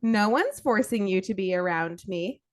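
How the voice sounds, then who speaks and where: A woman's voice: medium pitch, animated, moderate pace, faint music behind. A woman co-hosting a podcast with dry, assertive, casual banter. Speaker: podcast co-host.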